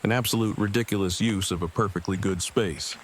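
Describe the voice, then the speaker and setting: A man's voice: on the low side, fairly even intonation, fairly quick, a little hiss or hum, lightly acted. An actor playing a nerdy, opinionated man in an audio drama, speaking in wordy, stiffly formal sentences. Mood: indignant.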